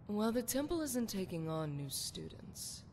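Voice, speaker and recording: A woman's voice: sing-song, normal speed, darkish tone. A woman playing a seductive, flirtatious priestess of a love goddess in a video game, with sultry, poetic, theatrical phrasing. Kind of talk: scripted video game character dialogue. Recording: long hall reverb, noisy rumbling background.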